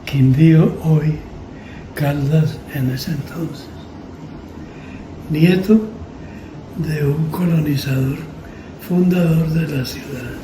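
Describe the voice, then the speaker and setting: Older colombian man telling his life story real slow and solemn, far from mic, noisy. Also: fairly high pitch. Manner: deliberate, short measured phrases. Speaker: interviewee, former farm radio journalist. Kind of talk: solemn autobiographical testimony. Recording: echoey, distant, clearly noisy.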